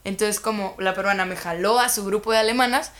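A young woman with a Guatemalan Spanish accent talks in a relaxed, chatty way. She tells stories with lively quoted asides, like a guest on a casual podcast. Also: mid-range voice, a little fast. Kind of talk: casual chat.

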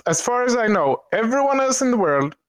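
A man from Sweden with a Swedish accent, chatting slowly and hesitantly about games in a casual podcast. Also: very high-pitched, sing-song, smooth, no room sound. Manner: slow, hesitant, with many ums and restarts. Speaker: streamer and podcast guest. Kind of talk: casual chat.

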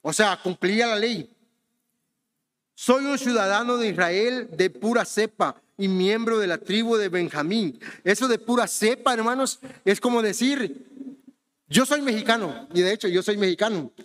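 A man's voice: sits high, normal speed, fairly bright tone, long reverb tail. A man from Honduras preaching a sermon in a conversational way, often turning to the congregation with questions. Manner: conversational preaching, often asks the congregation "¿verdad?" and says "hermanos".

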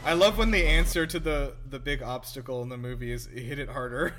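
A man's voice: medium pitch, brisk, sharp tone, faint music behind. A man chatting casually as a co-host reacting to a film, jokey and amused, swearing freely. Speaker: podcast co-host. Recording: film soundtrack playing behind.

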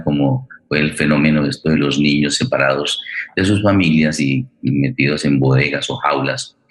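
Very deep-voiced, halting male radio host. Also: fairly bright tone. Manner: halting, wordy, formal and courteous. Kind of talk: unscripted, welcoming introduction of a guest and play.

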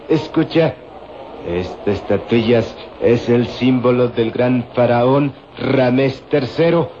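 A man's voice: medium pitch, darkish tone. A man acting as a greedy, fearful old moneylender in a melodramatic old-fashioned radio drama. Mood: scornful, gloating. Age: older. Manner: melodramatic, agitated, exclaiming and repeating himself. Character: greedy, fearful, stubborn old male moneylender and relic dealer. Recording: muffled, noisy old radio serial recording.

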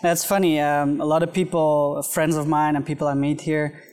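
Low-voiced woman hosting a podcast at a villa, friendly, lots of ums while asking questions. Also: level tone, moderate pace, a little mellow, faint music behind. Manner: friendly, prompting questions with frequent fillers. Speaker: podcast host. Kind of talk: casual interview chat. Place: outdoors at a villa.

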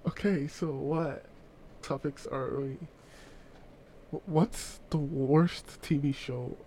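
A man's voice: a little high, steady tone, slow pace, dark tone, a little hiss or hum. A young man co-hosting a casual chat podcast, joking and teasing in banter. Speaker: podcast co-host.

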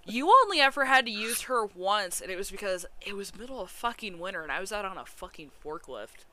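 A woman's voice: medium pitch, brisk, crowd noise. A woman, co-hosting a podcast in casual chat, blunt and swearing freely as she tells stories. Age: 20s. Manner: blunt, swears often, animated storytelling. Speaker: podcast co-host.

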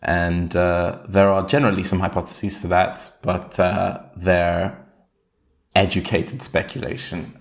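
A man's voice: deep voice, little pitch movement, a little slow, gravelly. A man who grew up in Switzerland, co-hosting a casual podcast with a dry, wry, slightly formal way of talking. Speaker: podcast co-host. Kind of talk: casual chat.

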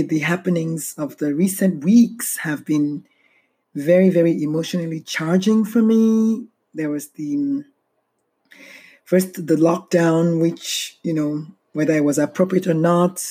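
A middle-aged woman hosting a solo podcast, speaking slowly and heavily with a low, barely audible voice. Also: mellow, slightly rough. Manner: slow, heavy-hearted and reflective. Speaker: podcast host.

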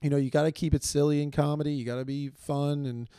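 A man's voice: fairly high pitch, level tone, quick pace, dark tone, clean tone, dry recording, studio quality. A young man hosting a solo comedy podcast, rambling and self-deprecating with lots of fillers and restarts. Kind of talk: casual solo comedy monologue. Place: small room, filmed on video.

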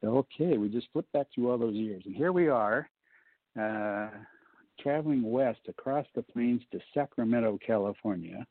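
A man with a low baritone voice, a guest on a call-in show, telling a story casually and joking along the way, with many fillers. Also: normal speed. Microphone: phone. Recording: thin phone line.

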